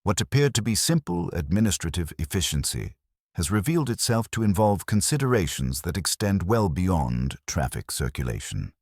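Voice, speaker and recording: Guy as a tired defensive town mayor, really low voice, formal and evasive, mystery drama. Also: big pitch swings, normal speed, a little mellow, dry recording, clean studio sound, full character voice. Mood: weary, chastened. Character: weary, defensive male small-town mayor in mystery story.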